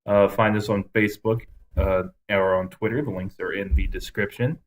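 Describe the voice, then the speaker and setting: A man's voice: fairly low pitch, steady tone, normal speed, rough recording. A young man co-hosting a podcast, chatting casually and offering opinions in loose banter. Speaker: podcast co-host.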